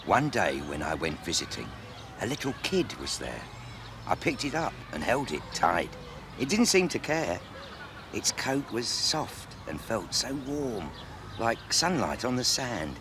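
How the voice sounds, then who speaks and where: Deep, gravelly, theatrical bear. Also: male voice, very animated, measured pace. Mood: gentle reciting. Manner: dramatic, repetitive emphatic recitation. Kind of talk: children's verse recitation. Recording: clear background noise.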